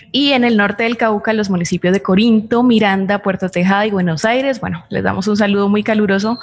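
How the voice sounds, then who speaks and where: A Colombian woman speaks as co-host of a live broadcast. Her voice sits at an average pitch as she announces and reads out greetings to the audience.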